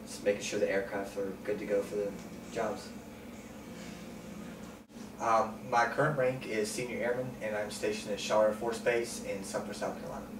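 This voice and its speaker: Calm young man interviewee, low even delivery, recorded far off with noise. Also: moderate pace. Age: 20s. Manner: calm, plain-spoken, frequent ums. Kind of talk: sit-down interview. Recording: roomy, distant mic, noisy.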